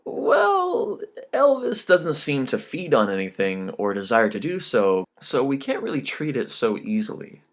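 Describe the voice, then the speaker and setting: A man's voice: mid-range voice, wildly swooping pitch, a little slow, few pauses, pitched above the performer's own voice. Man voicing a glib, chatty record label man. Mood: wry, breezy. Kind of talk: audiobook character dialogue.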